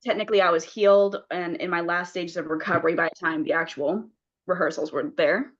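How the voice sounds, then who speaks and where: Young woman w/ lower voice just chatting on a call, kinda dull lo-fi sound. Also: fairly even intonation, fairly quick. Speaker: actor taking part in a table read. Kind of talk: casual personal chat.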